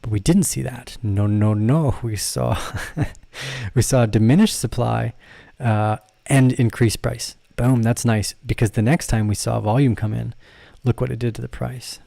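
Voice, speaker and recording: A man hosts a casual trading livestream, talking through charts in a loose, rambling way. He often corrects himself mid-sentence and laughs along with his audience. His voice is mellow and relaxed, recorded dry with no room sound. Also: medium pitch, measured pace, vocal fry, dry recording. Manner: addresses viewers and reads their comments. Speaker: crypto trading channel host.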